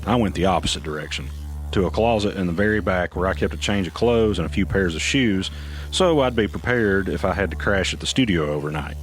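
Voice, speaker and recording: An actor playing a man who narrates a tense mystery in the first person, deliberate and confiding, for an audio drama. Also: deep voice, animated. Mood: urgent. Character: weary male narrator recounting a tense mystery. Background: music underneath.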